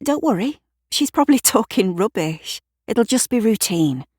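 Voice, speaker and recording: Audio drama neighbour , played by a woman: female, bossy, kind-hearted, insistent, voice pitched up, brisk delivery. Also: very animated, a little mellow, no echo, clean studio sound. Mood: worried, soothing. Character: Shirley, bossy, caring, nosy female neighbour.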